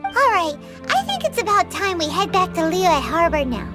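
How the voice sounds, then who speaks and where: Very high squeaky fairy companion, bubbly, lively swoops, music underneath. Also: female voice, normal speed. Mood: impressed. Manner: bubbly, excitable. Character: tiny floating female fairy companion, chirpy. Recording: game audio with music behind.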